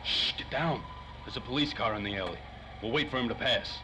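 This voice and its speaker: A man's voice: mid-range voice, fairly quick, lightly acted. A man playing an impatient, wisecracking, slangy tough guy in 1930s radio drama style. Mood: exasperated, urgent. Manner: slangy, snappy. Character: hard-boiled, impatient, wisecracking male 1930s detective type. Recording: faint noise, light ambience.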